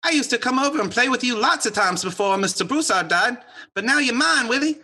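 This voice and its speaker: A woman's voice: fairly high pitch, lively intonation, fairly quick, sharp tone, breathy edge, full character voice. A woman playing an eager, pleading young country boy with a Southern US accent in an audio drama. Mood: coaxing, sad. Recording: noisy, with clicks.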